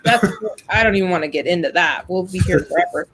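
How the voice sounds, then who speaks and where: A woman's voice: lowish voice, fast talker, fairly bright tone, slight background noise. An American woman from New Mexico co-hosting a show in casual chat, speaking in a candid, outspoken, informal way. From New Mexico, USA. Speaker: co-host of a business show.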